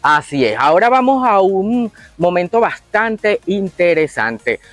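A man's voice: high voice, very animated, measured pace, a bit bright, no room sound, faint music behind. A man co-hosting a show, reading a segment and chatting with hesitant fillers when speaking freely. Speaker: show co-host. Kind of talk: reading segment, casual chat.

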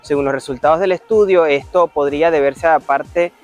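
A man's voice: on the high side, normal speed, darkish tone, soft background music. A man hosting a show, reading a science segment and introducing guests in an upbeat presenter's manner. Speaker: show host.

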